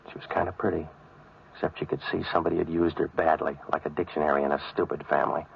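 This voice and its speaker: An actor playing a cynical, wisecracking American private eye in a 1940s hardboiled radio drama, terse and deadpan. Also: male voice, extremely low pitch, fast, gravelly, husky, heavily acted. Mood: wry, weary. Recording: dull vintage radio recording.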